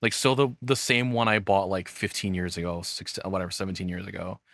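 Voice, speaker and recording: A man's voice: low voice, fairly quick, vocal fry, fairly bright tone, dry recording. A man in his thirties giving casual, rambling reaction commentary as the host, swearing freely. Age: 30s.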